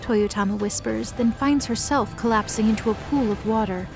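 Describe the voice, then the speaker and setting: A woman's voice: fairly high pitch, moderate pace, vocal fry. Woman narrating drama over music. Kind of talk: dramatic scripted story narration.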